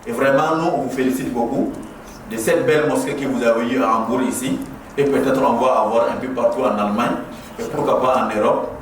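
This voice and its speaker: A man's voice: medium pitch, fairly even intonation, brisk, slight background noise. Man, middle-aged, impassioned ceremonial speaker, repeats phrases for emphasis, very echoey hall. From Burkina Faso. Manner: impassioned, preaching with rhetorical repetition. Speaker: guest speaker at a mosque inauguration. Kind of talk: exhortative ceremonial speech. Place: large echoing prayer hall. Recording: very echoey hall, voice far from the mic.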